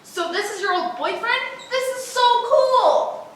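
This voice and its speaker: A woman playing a bubbly, gushing friend of the family in a stage comedy rehearsal, excited and chirpy. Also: very high-pitched, measured pace. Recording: very echoey room, distant microphone, faint noise.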